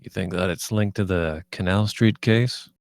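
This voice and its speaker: A man playing a cynical police detective in his thirties in a screenplay table read, creaky and blunt in delivery. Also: deep voice, normal speed, darkish tone, dry recording, clean studio sound. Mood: grim, disgusted.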